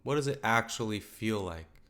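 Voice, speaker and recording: A man leading a guided meditation in a calm, gentle, unhurried way, letting his phrases settle softly. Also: on the low side. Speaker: meditation teacher.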